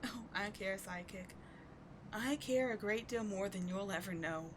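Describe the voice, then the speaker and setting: A woman's voice: mid-range voice, normal speed, slight background noise, natural voice. A woman playing a bitter, passionate villain with secret devotion, speaking in a theatrical, intense, emphatic way in a dramatic audio roleplay. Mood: reluctant, tender. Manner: theatrical, intense, emphatic, with sighs and dropped whispers. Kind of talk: dramatic audio roleplay monologue addressed to the listener.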